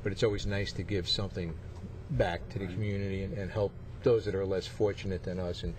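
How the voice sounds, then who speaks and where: A man's voice: deep voice, steady tone, a little fast. A man hosting a casual interview, often repeating his phrases. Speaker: interview show host. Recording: slightly distant mic, faint background noise.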